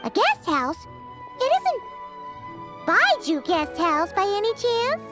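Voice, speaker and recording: A woman voicing a tiny, chirpy, childlike fairy companion in an animated video game style, blunt and excitable with a squeaky voice. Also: takes it slowly, over loud music, full character voice. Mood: curious, surprised. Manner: speaks of herself in third person, exclamatory.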